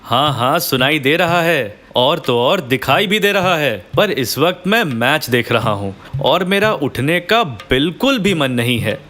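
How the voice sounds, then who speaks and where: A man's voice: a little high, expressive, a little slow, hardly any pauses, pushed and bright, faint music behind. Earnest, reproachful husband, voiced by a man. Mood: annoyed. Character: weary, reproachful husband, a sensible adult son. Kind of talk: Hindi cartoon moral story dialogue.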